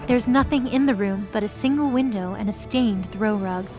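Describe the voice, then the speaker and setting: A woman's voice: medium pitch, moderate pace, over music. A woman reading scripted narration for an audio drama story.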